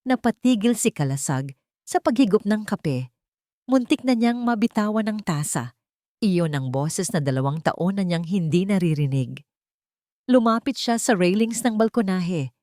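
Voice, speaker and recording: A woman's voice: deep voice, a little mellow, dry recording, studio quality. A woman narrating a dramatic romance audiobook in a scripted storytelling style.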